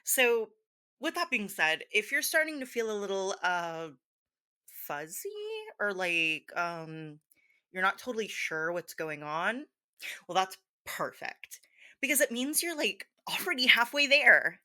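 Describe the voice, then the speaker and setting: Bright, ditzy female hypnosis teacher, acted by a woman. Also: medium pitch, lively intonation, measured pace. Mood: scattered, bubbly. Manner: scattered, hesitant, girlish filler-laden talk with gasps and giggles. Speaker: hypnosis performer playing a teacher. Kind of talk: soft scripted hypnosis roleplay in casual teacher talk.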